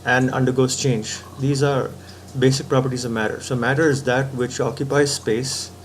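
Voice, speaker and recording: A man's voice: medium pitch, steady tone, moderate pace, a little hiss or hum. A man giving a patient philosophical talk, reasoning step by step and often checking in with the listener. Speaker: spiritual teacher.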